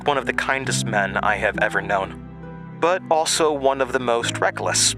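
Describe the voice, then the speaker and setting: Man voicing a gentle free-spirited woman over music. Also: mid-range voice, moderate pace, lightly acted. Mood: sad, wistful. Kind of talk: quoted dialogue in audio drama.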